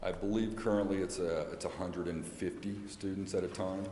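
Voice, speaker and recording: An American man answering questions at a public meeting as a school representative, explaining haltingly and repeating words. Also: sits low, fairly even intonation, mellow. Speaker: school representative addressing a city council. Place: large indoor council chamber. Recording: echoey meeting hall with background noise.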